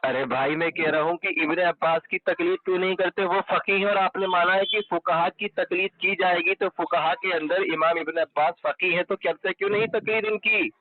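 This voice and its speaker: Male debater, fairly high voice, pressing and repetitive in religious argument, recorded off a dull phone line. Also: fairly even intonation, a little fast, hardly any pauses. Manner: insistent, repeats pointed questions. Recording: muffled, band-limited telephone call recording.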